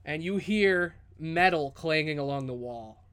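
Man, fairly high voice, game master, improvised fantasy narration, informal, sweary, descriptive. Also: lively intonation, a little slow, fairly bright tone, clean tone.

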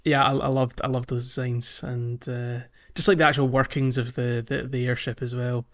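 A man with an average-pitched voice discusses films casually, as a podcast co-host would. He hesitates and searches for words. The sound is a little dull and muffled. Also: little pitch movement, moderate pace, fairly bright tone. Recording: slightly dull, muffled audio.

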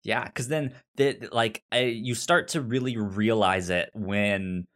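A man co-hosting a podcast in casual conversation, with a stutter that repeats sounds and words and a halting delivery. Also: on the low side, fairly even intonation, fairly bright tone. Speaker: podcast co-host. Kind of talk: casual chat discussing a TV series.

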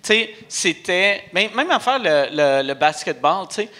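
A man with a Québécois French accent rambles through his points in a high voice that swoops all over the place, bright and lively. He swears casually in Quebec slang. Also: unhurried. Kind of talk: casual chat.

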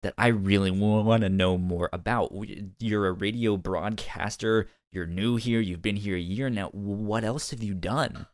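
A man's voice: lowish voice, no echo. Guy on a review podcast, stammers and restarts a lot, casual, really dry sound. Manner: halting, stammering repeats of words. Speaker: podcast host.

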